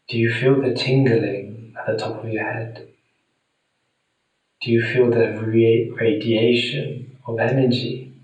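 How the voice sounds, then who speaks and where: A man guiding a meditation in a soothing, deliberate manner with long pauses, speaking gently to the listener. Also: lowish voice. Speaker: meditation guide. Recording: very echoey room, distant mic.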